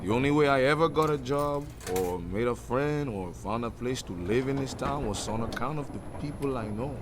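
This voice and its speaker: An actor playing an encouraging, streetwise musician and affectionate old friend in a comedic radio drama, speaking in a coaxing way. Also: male voice, medium pitch, normal speed, natural voice. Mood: persuading. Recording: clear urban traffic noise behind voice.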